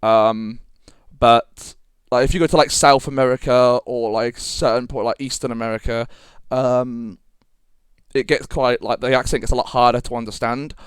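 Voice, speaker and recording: A man's voice: mid-range voice, fairly even intonation, normal speed, smooth, no echo. British-born guy rambling on a podcast, swears a lot, clean dry mic. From Britain. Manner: rambling, sweary, lots of fillers. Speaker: podcast co-host. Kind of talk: casual chat.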